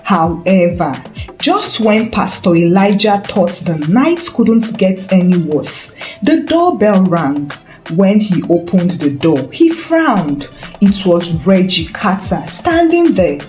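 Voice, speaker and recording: A woman's voice: medium pitch, big pitch swings, slow pace, a little mellow. A woman narrating a dramatic scripted story as a storyteller. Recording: roomy echo with faint music. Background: faint background music.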